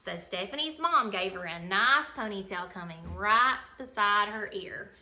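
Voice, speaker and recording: A woman reading a children's picture book aloud as a bedtime story, in an expressive, dramatic way. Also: mid-range voice, a little slow, faint music behind. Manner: expressive, dramatic, acts out dialogue. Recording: roomy, echoey sound.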